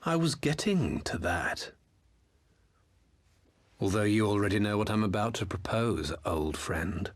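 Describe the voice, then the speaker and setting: A man's voice: fairly low pitch, expressive, a little slow, long pauses. A man playing a smug, theatrical former imperial officer and master engineer in a video game, mocking with grandiose courtesy. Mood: smooth, theatrical. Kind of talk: video game cutscene acting.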